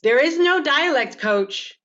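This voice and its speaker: Animated Canadian woman, slightly muffled audio. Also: high voice, slow pace. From Toronto, Canada. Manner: animated, laughs often. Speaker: actor and producer, panelist. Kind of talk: candid panel discussion. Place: virtual panel over video call.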